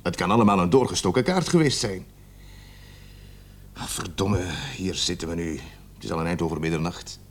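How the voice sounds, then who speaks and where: An actor playing a weary, grumpy police sergeant in a radio play, talking in a gruff, grumbling manner. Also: male voice, deep voice, normal speed, long pauses. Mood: weary, irritated.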